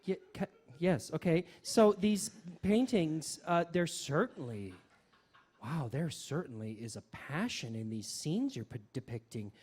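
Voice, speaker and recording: A man hosting a radio variety show with semi-scripted comedy, speaking haltingly and often repeating his words. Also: on the high side, sing-song, darkish tone, breathy edge.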